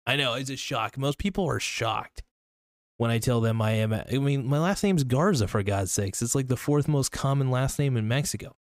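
A man's voice: medium pitch, normal speed, dry recording. Rambling man in a dry room. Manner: rambling, many restarts and fillers. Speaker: podcast host. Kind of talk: casual solo podcast talk.

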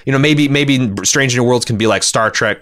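A man co-hosting a podcast, discussing television casually and bluntly with some swearing, in a halting, rambling way that circles back over words. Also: mid-range voice, fairly bright tone. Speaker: podcast co-host.

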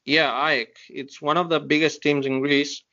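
A man's voice: on the high side, level tone, moderate pace, pushed and bright. A young man co-hosting a casual sports podcast, easygoing and hesitant, with lots of fillers. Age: young adult. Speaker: podcast co-host. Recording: dull lo-fi audio.

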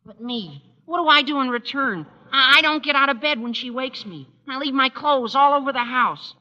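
High, squeaky, earnest teenage schoolboy. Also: male voice, animated, moderate pace, bright, forceful tone, exaggerated voice. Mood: earnest, hesitant. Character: earnest, squeaky-voiced teenage schoolboy, devoted but bumbling. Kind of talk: 1940s radio comedy acting. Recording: dull old radio recording.

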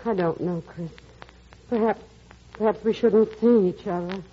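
A woman's voice: mid-range voice, a little slow, husky. An actress playing a wistful, easily flustered middle-aged mother in a classic radio drama. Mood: guarded, uneasy. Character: mature widowed mother, flustered, wistful and guarded. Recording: dull lo-fi old radio recording.

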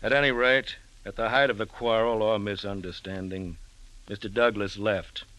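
A man's voice: on the low side, fairly even intonation, moderate pace, a bit bright, muffled recording, lightly acted. A man playing a skeptical police lieutenant in a 1940s radio drama, giving facts in a clipped, official way. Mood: dry, official. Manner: factual, clipped reporting. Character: matter-of-fact, skeptical male police lieutenant.

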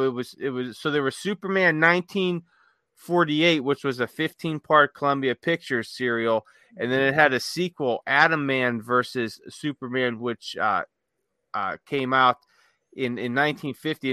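A man's voice: mid-range voice, normal speed, pushed and bright, clear, resonant tone, no echo. A middle-aged man talking casually and with enthusiasm. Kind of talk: casual chat.

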